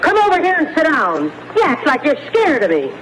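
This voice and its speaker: A woman's voice: high voice, huge pitch swings, brisk. A woman playing a brash, bossy, short-tempered lady barber in an old radio comedy. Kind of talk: 1930s radio comedy acting. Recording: noisy old radio recording, studio audience.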